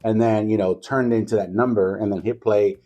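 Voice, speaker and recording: A man in his forties from Guatemala, a radio station owner telling his story in a relaxed, unhurried way with plenty of fillers. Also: lowish voice, dull audio, soft background music. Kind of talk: casual interview talk.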